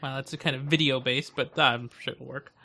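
A man's voice: medium pitch, fairly even intonation, brisk, vocal fry, pushed and bright. A young adult man hosting a casual tech podcast, chatting informally with his co-host.

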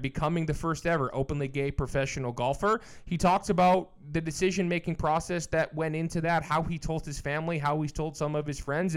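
Man, slightly high voice, podcast host, conversational, appreciative, enthusiastic. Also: smooth. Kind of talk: conversational podcast introduction and interview.

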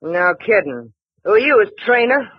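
A husky, no-nonsense bar owner in her middle years barks orders and trades wisecracks with a lively, swooping delivery. It sounds like a vintage radio play, with muffled, lo-fi sound. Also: medium pitch, moderate pace, dry recording. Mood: wry. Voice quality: husky, bossy, blunt. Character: tough middle-aged woman running a roadside bar. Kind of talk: 1940s radio acting. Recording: dull old radio broadcast.

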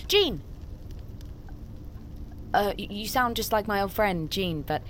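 An actress playing an anxious, apologetic niece, hesitant and stammering, in an audio drama. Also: female voice, medium pitch, expressive, slow pace, a bit bright, a little hiss or hum. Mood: flustered, awkward. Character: anxious, apologetic, flustered niece under pressure.

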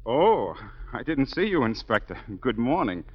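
An actor playing an ardent gentleman opera singer in a 1940s radio drama, emphatic and formal. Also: medium pitch, very animated, normal speed. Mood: polite. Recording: dull 1940s radio broadcast, hall reverb.

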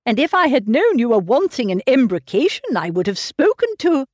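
A woman reading aloud voices a fussy, anxious elderly gentleman fretting over his grown daughter. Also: high-pitched, big pitch swings, a little fast, dry recording, clean studio sound, pitched above the performer's own voice. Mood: fretful. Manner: fretful, coddling. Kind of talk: audiobook character reading.

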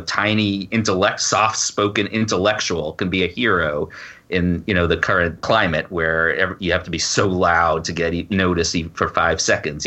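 A man speaking as a guest author in a conversational interview, informal and halting, often breaking off to rephrase. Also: low-pitched, pushed and bright. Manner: halting, informal, frequent self-interruptions and "you know". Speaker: guest author and law professor.

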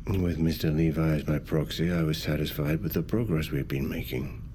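An actor playing a wealthy middle-aged magnate, speaking formally in a measured, halting way. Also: male voice, extremely low pitch, fairly even intonation, dark tone, a little hiss or hum. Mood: formal, restrained. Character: wealthy, controlled, grave male business magnate. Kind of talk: naturalistic audio drama acting.

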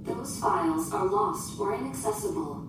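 A woman's voice: lively intonation, moderate pace, natural voice. A woman playing a polite, unemotional shipboard AI in a sci-fi audio drama, speaking in formal, precise, procedural phrases. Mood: polite, detached. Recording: distant, echoing, noisy with rumble.

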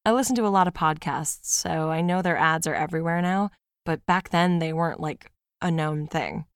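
A woman's voice: low voice, normal speed, smooth, no echo, clean studio sound. A woman playing a podcast host who reads out a fan's email in a casual, wry, sweary storytelling way for an audio drama. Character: podcast host reading a fan's confessional horror-comedy email aloud. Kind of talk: scripted audio drama monologue, conversational storytelling.

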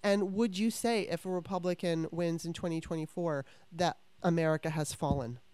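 A woman hosting a podcast, talking in a chatty, animated way with frequent restarts, close to the microphone. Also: fairly low pitch, moderate pace, dry recording. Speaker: podcast host. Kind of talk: casual interview chat.

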